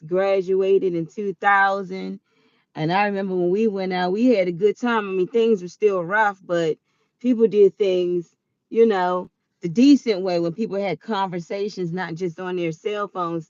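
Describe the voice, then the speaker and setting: A woman around forty telling a personal story in a chatty, animated way, quick to laugh. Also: medium pitch, no echo, dull audio. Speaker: vlogger.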